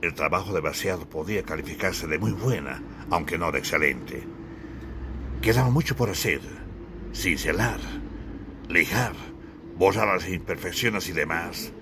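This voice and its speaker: A man's voice: low voice, animated, moderate pace, noisy background. A man reading a scripted audiobook in a solemn, literary, declamatory manner. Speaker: author narrating his own audiobook. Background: music.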